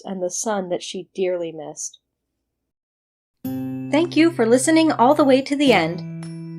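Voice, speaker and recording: A woman's voice: mid-range voice, a little mellow. A woman working as a narrator, reading scripted material for an audio story production.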